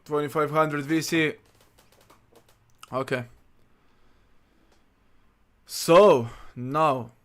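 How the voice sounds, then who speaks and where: A man from Slovenia, chatting casually while livestreaming a basketball video game and reading menus aloud to himself. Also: medium pitch. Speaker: streamer. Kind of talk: casual gaming livestream chat.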